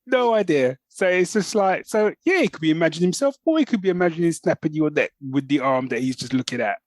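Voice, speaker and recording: A man's voice: a little high, expressive, fast, no echo. A man, co-hosting a podcast with rambling, colloquial, casual chat about the news. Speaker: podcast co-host.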